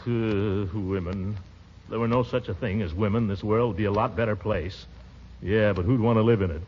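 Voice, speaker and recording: An actor playing a pompous, lovesick middle-aged bachelor, blustering and sighing in 1940s radio comedy style. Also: male voice, fairly low pitch, fairly even intonation, normal speed, close to an everyday voice. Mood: grumbling. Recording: muffled, noisy 1940s radio recording.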